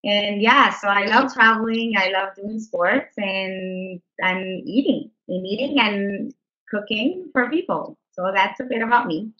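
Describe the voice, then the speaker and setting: A woman's voice: mid-range voice, unhurried, bright, forceful tone. A woman from Canada casually introducing herself on a webinar, chatty with many fillers and restarts. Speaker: co-founder presenting on a webinar. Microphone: video call. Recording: lo-fi online call audio.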